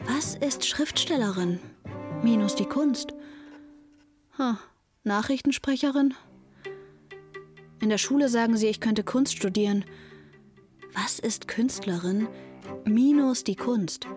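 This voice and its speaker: A woman's voice: fairly high pitch, takes it slowly, long pauses, a little mellow, soft background music. An actress playing an anxious, wry teenage girl narrating her thoughts pensively in a radio drama. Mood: brooding, wry.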